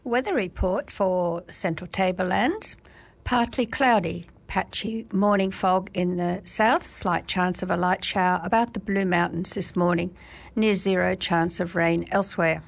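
An Australian woman presenting on community radio, with a froggy, gravelly voice, reading the weather in a relaxed way with fillers and small slips. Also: fairly low pitch, measured pace. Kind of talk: live radio weather read and announcing.

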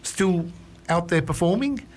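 A man's voice: sits high, measured pace. A man hosting a radio music show, chatting casually with a guest and often restarting his sentences. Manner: relaxed, chatty, frequent fillers and restarts. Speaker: radio host. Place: radio studio.

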